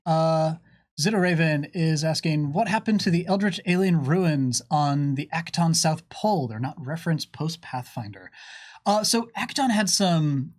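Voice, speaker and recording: Man, slightly high voice, casual Q&A livestream host, explanatory, playful delivery. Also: expressive, unhurried. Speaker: panelist reading and answering viewer questions.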